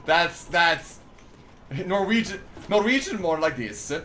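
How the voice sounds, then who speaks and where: A man's voice: high-pitched, sing-song, slow, bright, forceful tone, a little hiss or hum. A young man streaming a game in casual chat, rambling and swearing, laughing often, reading chat aloud and playing with a put-on accent. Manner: playfully tries out a put-on accent.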